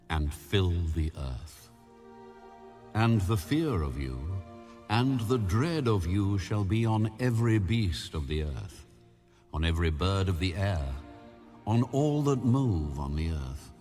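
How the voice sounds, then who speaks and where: A man narrating scripture in a solemn, measured, grave manner with deliberate pauses. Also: deep voice, a little mellow. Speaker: audio Bible narrator.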